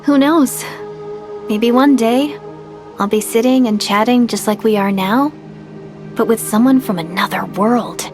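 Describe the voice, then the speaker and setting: A woman's voice: on the high side, a little slow, pauses often, over music, close to an everyday voice. A woman playing an earnest, idealistic young village woman setting out as an adventurer in an anime video game. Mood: earnest resolve. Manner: earnest, sincere.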